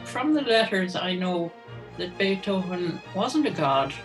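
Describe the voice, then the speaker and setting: Loud music behind, woman, medium pitch, lively intonation, reflective talk. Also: unhurried. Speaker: composer.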